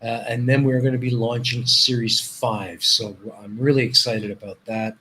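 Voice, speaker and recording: A man's voice: medium pitch, steady tone, normal speed, pushed and bright, a little hiss or hum. A man who grew up in Canada with Dutch as his first language, hosting a casual live stream, rambling and repeating himself as he reads viewers' chat.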